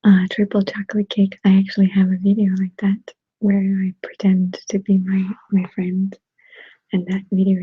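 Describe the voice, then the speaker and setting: Breathy whispering woman with a Russian accent. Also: mid-range voice, no room sound, phone-quality sound. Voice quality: soft, breathy, mostly whispered. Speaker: ASMR creator hosting a live stream. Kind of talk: ASMR, casual chat answering viewers. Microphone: sensitive close microphone.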